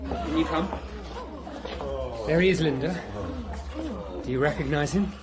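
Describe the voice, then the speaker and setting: An actor playing a scheming, earnest underling in a radio drama. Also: male voice, fairly high pitch, a little slow, a crowd in the background, background noise, natural voice. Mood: sly, composed. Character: scheming, earnest male underling, quietly defiant.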